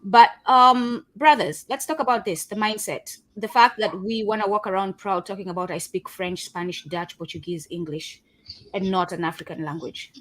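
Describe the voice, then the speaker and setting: A woman from Kenya, a Kiswahili speaker, leads a panel discussion. Her delivery is earnest and preachy, and she pauses often on "um" and "uh". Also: mid-range voice, a bit bright, rough recording. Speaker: host. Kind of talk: panel discussion on video call.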